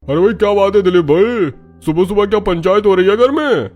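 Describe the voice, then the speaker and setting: A man's voice: high-pitched, a little fast, a little mellow, faint music behind. A man voicing a grumbling, dismissive village elder in a Hindi cartoon, with a rustic, chiding, colloquial manner. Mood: folksy. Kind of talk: Hindi cartoon story dialogue.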